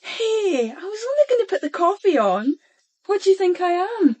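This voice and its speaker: A woman's voice: extremely high pitch, sing-song, normal speed, darkish tone. A woman playing a troubled, flippant, evasive fourteen-year-old girl in a radio crime drama. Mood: defensive.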